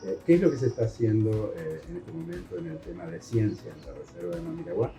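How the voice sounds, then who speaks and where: A male interviewer with an Argentine accent and a very dark, mellow tone, fairly low in pitch. He asks questions in a friendly, informal manner. Faint music sits under his voice. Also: sing-song, brisk, roomy sound. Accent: Rioplatense Argentine Spanish. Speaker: host interviewer.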